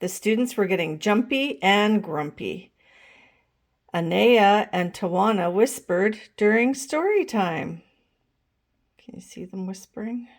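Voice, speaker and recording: A woman reads a picture book aloud to children at an unhurried pace. Her pitch moves around in a lively way, and she is expressive, stopping now and then to speak to her young listeners. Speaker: storytime reader.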